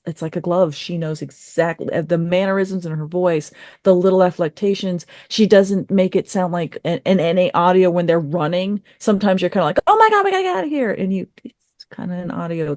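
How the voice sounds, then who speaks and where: Female panelist, slightly low voice, dry close sound, informal and self-interrupting review chat. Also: darkish tone, dry recording. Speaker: podcast panelist. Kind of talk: casual review discussion.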